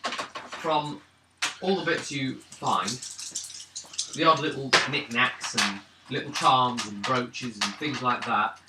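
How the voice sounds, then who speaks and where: A man's voice: medium pitch, takes it slowly. A man running a tabletop role-playing game, casually explaining things to the players as game master. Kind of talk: casual game narration and chat. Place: indoors around a gaming table. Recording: echoey, distant room mic with clear noise.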